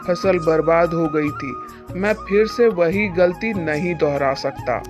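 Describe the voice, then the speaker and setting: Humble, earnest farmer for cartoon fable, measured slow speech, music softly behind. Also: male voice, a little high. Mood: downcast, fearful. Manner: pleading, earnest, respectful. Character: humble, earnest poor male farmer, determined. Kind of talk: moral-story cartoon dubbing. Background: background music.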